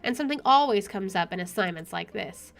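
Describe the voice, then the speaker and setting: A woman's voice: medium pitch, expressive, brisk, creaky. A woman reading visual novel narration aloud with wry, dramatic expression over game music. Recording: music playing behind the voice.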